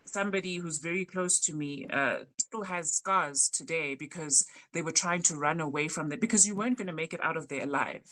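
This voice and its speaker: A woman in her thirties with a South African accent, co-hosting a casual podcast chat and telling stories with animated emphasis. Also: sits low, brisk, hardly any pauses, rough recording. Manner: animated storytelling with isiZulu words mixed in. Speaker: podcast co-host.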